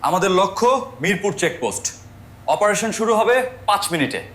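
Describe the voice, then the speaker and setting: A man's voice: high voice, sing-song, moderate pace, a bit bright, slight background noise. A man playing a righteous, stern young army captain in a story, with a firm, commanding manner.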